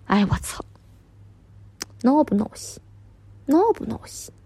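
Woman acting as fed up middle-aged wife w/ northeastern chinese accent, husky, swingy pitch, chewing out her husband, sweary drama. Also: big pitch swings, takes it slowly, mellow, exaggerated voice. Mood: scornful, exasperated. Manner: scolding, sarcastic, coarse swearing. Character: furious, foul-mouthed middle-aged wife and mother berating her husband. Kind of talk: dramatic one-sided argument monologue.

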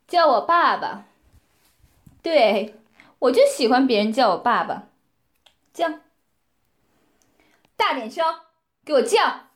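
A woman playing a domineering, scornful mistress who gives commands and taunts, sometimes dropping to breathy whispers. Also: high voice, exaggerated voice. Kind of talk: roleplay audio drama.

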